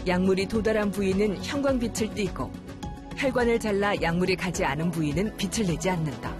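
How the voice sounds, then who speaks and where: A narrator reading a scripted medical documentary in a measured, formal way. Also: medium pitch. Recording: music behind the voice. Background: background music.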